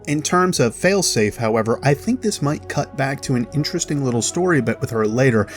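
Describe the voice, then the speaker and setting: A man hosting a video, giving chatty, explanatory commentary as a voice-over about game lore. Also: mid-range voice. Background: music.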